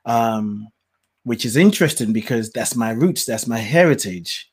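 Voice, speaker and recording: A man's voice: mid-range voice, expressive, a little slow, slightly rough. A man who grew up in Nigeria tells his life story as a guest, reflective and laughing often. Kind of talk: personal storytelling in conversation.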